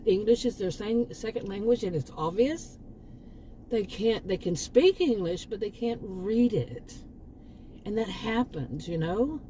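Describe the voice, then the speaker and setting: A woman's voice: mid-range voice, lively intonation, measured pace, dark tone, a little hiss or hum, poor audio. A woman from Texas with a Texan accent, talking casually to her channel and rambling through restarts and asides. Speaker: channel host. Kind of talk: casual monologue.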